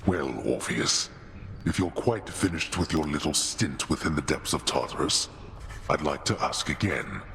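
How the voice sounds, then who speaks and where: An actor voicing a stern, imperious old god of the underworld in a video game, sardonic and menacing. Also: male voice, rumbling low, expressive, moderate pace, creaky, a bit bright, in a large hall, slight background noise, full character voice. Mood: menacing impatience. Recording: game music behind the voice.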